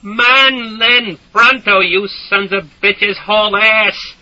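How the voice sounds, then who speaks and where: A man voicing a volatile, bullying young male soldier who shouts orders in a shrill, husky voice. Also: level tone, drawn-out words, muffled recording, heavily acted. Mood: barking orders.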